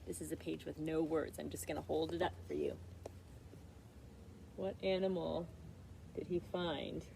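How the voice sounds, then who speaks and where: A woman's voice: low-pitched, a little slow, vocal fry, noisy background. A woman chatting casually as a storyteller reading to children, putting questions to her listeners. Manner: conversational, asks listeners questions. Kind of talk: casual interactive read-aloud chat.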